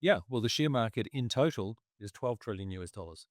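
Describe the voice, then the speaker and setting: A man, losing his voice, talking through markets in a casual, halting way and clearing his throat often. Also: mid-range voice, expressive, dry recording, studio quality. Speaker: investment commentator.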